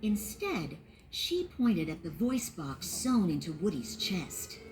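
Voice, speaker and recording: Expressive woman reading a storybook. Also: fairly low pitch, slow, faint music behind. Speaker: narrator. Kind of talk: read-along storybook narration.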